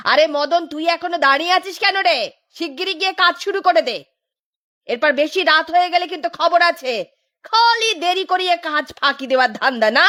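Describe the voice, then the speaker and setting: A woman playing a bossy, sharp-tongued village landlady in a cartoon, scolding and giving orders. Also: extremely high pitch, animated, normal speed, exaggerated voice. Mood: impatient scolding. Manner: scolding, commanding. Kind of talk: Bengali cartoon dubbing.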